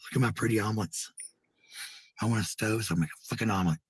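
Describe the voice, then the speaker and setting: A man with a raspy, gravelly voice in casual, rambling chat, blunt and swearing freely. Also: fairly low pitch, quick pace, no echo.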